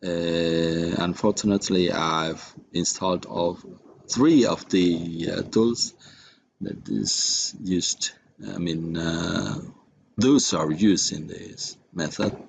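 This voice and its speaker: A man with an Indonesian accent giving an unscripted software tutorial in a halting, hesitant manner. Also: sits low, fairly even intonation, vocal fry, slight background noise. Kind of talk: unscripted screen-recorded tutorial.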